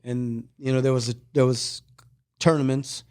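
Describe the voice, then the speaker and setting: Easygoing middle-aged podcast host. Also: male voice, mid-range voice, level tone, normal speed, a little mellow, smooth, no echo, clean studio sound. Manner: easygoing, chatty, prompts memories. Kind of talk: casual chat.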